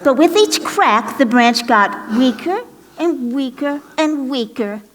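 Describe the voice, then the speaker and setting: Woman, very high voice, slow pace, expressive theatrical storyteller, children's parable, playful asides.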